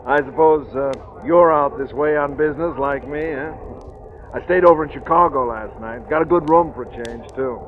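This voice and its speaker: Male actor, affable businessman neighbor, lightish higher pitch, jovial, mannered, 1940s radio acting, thin tinny recording. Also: moderate pace, a little hiss or hum, natural voice. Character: genial, chatty businessman neighbor, smoothly old-boyish.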